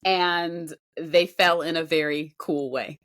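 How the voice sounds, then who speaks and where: A middle-aged woman giving casual reaction commentary as a podcast co-host, expressive and emphatic. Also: low-pitched, slow pace. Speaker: podcast co-host reviewing a singing show.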